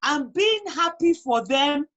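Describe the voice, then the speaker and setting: Female interview guest, Nigerian accent, high voice, conversational yet emphatic delivery. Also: lively intonation, slow, a bit bright. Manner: emphatic, repeats phrases for emphasis. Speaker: guest on a talk show. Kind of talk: conversational interview, personal advice.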